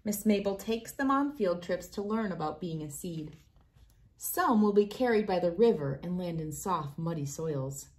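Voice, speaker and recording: A woman's voice: on the low side, lively intonation, moderate pace, darkish tone. Woman reading a storybook aloud expressively. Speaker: host reading a picture book aloud. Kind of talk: storybook read-aloud for children.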